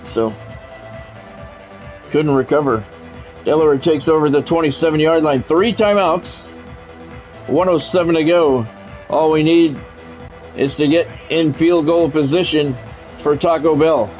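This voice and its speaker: Man, slightly high voice, football game streamer, play-by-play commentary, short clipped phrases, music behind. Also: a little mellow. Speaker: game streamer calling play-by-play of his own football video game. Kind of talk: sports play-by-play commentary mixed with casual gamer talk.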